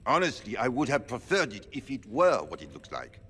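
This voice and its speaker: Panicky caught-out nobleman, bright lively voice, echoey hall. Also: mid-range voice, a little fast, breathy edge, heavily acted. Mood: embarrassed. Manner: anxious, pleading. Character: flustered nobleman caught out, desperate and pleading. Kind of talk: video game cutscene dialogue.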